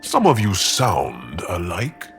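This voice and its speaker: A proud alien warrior, deep and very measured, with big swings of pitch. The manner is formal and dry, the kind heard in cinematic video game dialogue. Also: male voice, wildly swooping pitch, slightly rough, soft background music, exaggerated voice. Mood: guarded.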